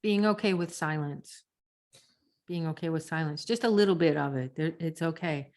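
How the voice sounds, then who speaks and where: A woman's voice: sits low, moderate pace, a little mellow. A woman co-hosting a podcast, teaching speaking technique in a conversational, demonstrative way. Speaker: podcast co-host and coach.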